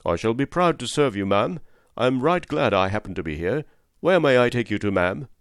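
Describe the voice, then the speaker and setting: A man's voice: mid-range voice, lively intonation, normal speed, dry recording, studio quality, voice pushed up, close to an everyday voice. A man voicing a kindly working-class cab driver, firm and fatherly, plain-spoken and reassuring in a storybook reading. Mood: respectful, pleased.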